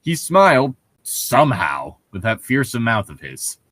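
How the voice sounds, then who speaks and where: A man casually co-hosting a comedic fan fiction reading, irreverent and amused, mixing mock dramatic reading with excitable banter. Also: medium pitch, measured pace, dry recording. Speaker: co-host reading fan fiction aloud with commentary.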